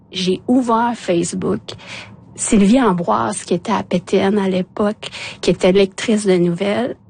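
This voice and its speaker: A woman's voice: mid-range voice, a little mellow, husky. A woman in her forties whose first language is Innu, speaking with a Quebec French accent as a journalist guest who tells her personal story with emotion and animation.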